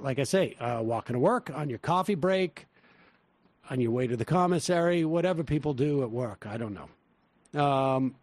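A man's voice: on the high side, darkish tone, no room sound. A man with a Massachusetts accent co-hosting a podcast, reading a sponsor spot in a loose, rambling way with many fillers and restarts. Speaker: podcast co-host. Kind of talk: casual political talk and host-read ad.

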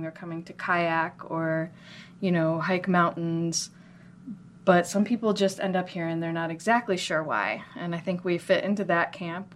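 Earnest American woman, lowish voice, background noise. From Minnesota, United States. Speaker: interview guest, chocolate business owner. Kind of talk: conversational interview storytelling. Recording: clear background noise, room ambience.

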